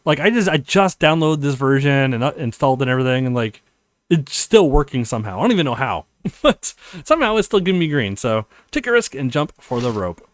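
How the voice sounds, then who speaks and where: A man giving casual, rambling commentary as host of a video game let's play, pausing hesitantly as he explains. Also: medium pitch, big pitch swings, no room sound.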